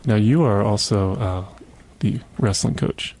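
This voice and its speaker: Gravelly-voiced male radio host. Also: fairly low pitch, measured pace, a little mellow. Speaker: radio interviewer. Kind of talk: casual radio interview. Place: radio studio.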